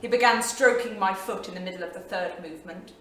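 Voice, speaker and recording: A woman's voice: mid-range voice, fast talker, a bit bright, lightly acted. Bold, restless period wife, played by a woman, lyrical theatrical monologue; distant mic, strong room echo. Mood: bold, playful. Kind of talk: staged reading, dramatic monologue. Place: small theatre studio. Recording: echoey theatre, distant mic, faint noise.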